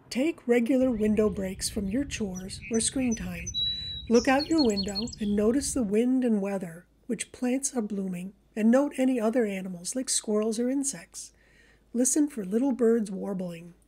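A woman reading a scripted voice-over about nature in a gentle, measured storytelling manner. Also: mid-range voice, husky. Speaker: presenter of a backyard birding video for a library.

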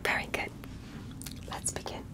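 A woman whispering in an ASMR roleplay as a courteous alien doctor, giving careful, formal instructions in a breathy voice. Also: rough recording. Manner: slow, careful, gently instructing, formal wording. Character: curious, courteous alien doctor examining a human. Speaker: ASMR performer playing an examiner.

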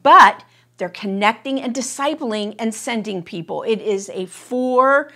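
Female co-host, 60s. Higher pitch, casual discussion style, halting delivery with frequent qualifications and interjections. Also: sharp tone. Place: indoor room, seated beside co-host.

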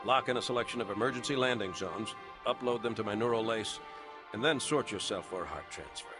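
A man playing a grave, steadfast middle-aged starship captain in a video game, speaking with measured military authority. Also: on the low side, lightly acted. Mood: grave, resolute. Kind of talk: dramatic video game acting. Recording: game audio under loud music.

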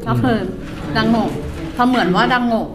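A woman's voice: fairly high pitch, steady tone, moderate pace. A woman chatting casually and politely as a vlog host tasting food. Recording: music laid loudly behind the voice.

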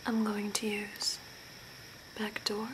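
An attentive, macabre zombie makeup artist, acted by a young woman, whispers in an ASMR role play. The delivery is slow and soft, with long pauses. There is noticeable hiss and ambience behind the voice. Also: mid-range voice, monotone, rough recording. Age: young adult. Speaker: ASMR performer. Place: small curtained room indoors.

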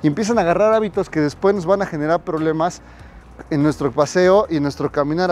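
A man's voice: high voice, darkish tone, slight background noise. A middle-aged man training a dog on a residential street, speaking directly to viewers in an instructive way, on a lapel mic as he walks. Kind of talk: outdoor training tutorial.

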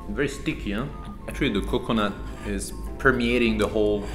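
A man's voice: lowish voice, fairly quick. A man from Italy, asking playful questions while tasting food. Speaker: company founder tasting food. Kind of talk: casual on-camera reactions. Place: indoor studio set at a table. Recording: roomy studio with music.

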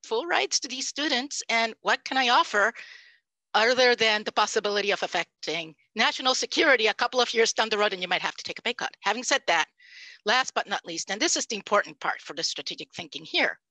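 A Puerto Rican woman in her fifties, a native Spanish speaker, giving an animated, emphatic panel talk over a headset microphone on a video call. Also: fairly high pitch, brisk, dry recording. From Puerto Rico. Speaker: panelist, language professor. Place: home room, indoors. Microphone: headset mic.

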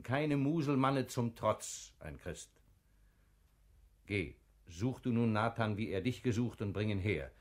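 An actor playing a calm, authoritative Sultan in a radio drama, measured and commanding. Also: male voice, on the low side, heavily acted. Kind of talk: classical German radio drama acting.

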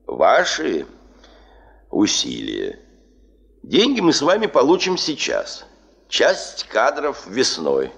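An actor playing a stern, demanding chief of a geological administration, making a formal, deliberate speech in a 1970s Soviet radio play. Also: male voice, mid-range voice, vocal fry, dull audio, heavily acted. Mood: stern, unsparing.

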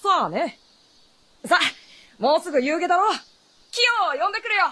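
A woman's voice: very high voice, big pitch swings, fairly quick, bright, forceful tone, exaggerated voice. A woman playing a guarded, knowing adolescent boy in a Japanese fantasy radio drama, speaking in a boyish, matter-of-fact way.

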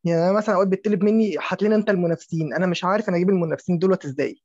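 A man's voice: high-pitched, steady tone, a little mellow, dry recording. A man with an Egyptian Arabic accent, a student politely asking a long question in an online class over a video call. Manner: rapid, explains at length, polite. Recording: dull, dry video-call audio.

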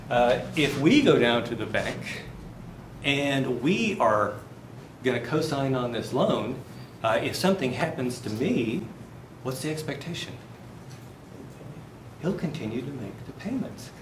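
A man in his fifties giving a live university finance lecture, chatty, asking the class questions and answering them himself. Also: mid-range voice. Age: 50s. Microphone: far camera, no visible mic. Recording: roomy classroom, faint background noise.

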